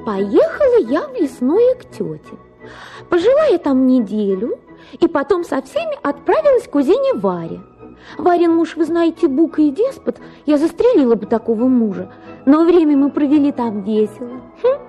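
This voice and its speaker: An actress playing a capricious, chattering young wife in a radio play, with a theatrical, gushing and complaining manner. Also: female voice, high voice, big pitch swings, moderate pace, dark tone, faint music behind.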